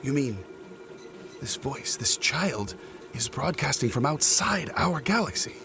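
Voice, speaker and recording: A man's voice: medium pitch, sing-song, a little slow, creaky, noisy background. An actor playing a puzzled male official in an audio drama. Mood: incredulous.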